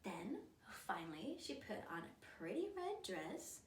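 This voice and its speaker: A woman reading a children's story aloud in a theatrical, emphatic, expressive manner. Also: mid-range voice, a little slow, faint music behind. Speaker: drama teacher. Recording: roomy, distant mic, noisy background.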